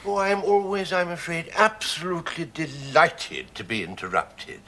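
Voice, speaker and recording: An older man playing a courtly, kindly, eccentric professor in a radio drama, speaking in a deliberate, formal way. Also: on the high side, lively intonation, sharp tone. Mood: genial, delighted.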